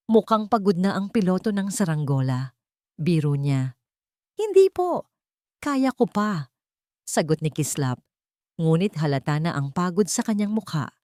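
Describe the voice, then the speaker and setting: A woman narrating a story expressively and sentimentally, in short measured phrases. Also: lowish voice, a little mellow, no room sound, studio quality. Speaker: audiobook narrator.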